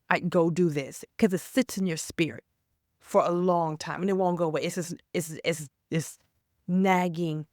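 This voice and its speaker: Woman faith vlogger, hoarse from a cold, gravelly low voice, dry close sound, rambling then earnest. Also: dark tone, no room sound. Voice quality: raspy. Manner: rambling, stops and restarts, then earnest exhortation. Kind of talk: casual faith talk to camera.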